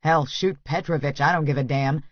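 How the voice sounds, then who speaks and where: A woman's voice: low voice, steady tone, normal speed, no echo, dull audio, pitched below the performer's own voice. A woman voicing a confident, blunt, protective young man in an audiobook. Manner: blunt, commanding, swearing.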